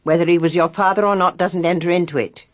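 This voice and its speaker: A woman's voice: on the low side, fairly even intonation, quick pace, close to an everyday voice. A woman playing a blunt, bossy, knowing village nurse in a British radio drama. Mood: brisk, bossy. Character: brisk, no-nonsense, knowing village nurse, female.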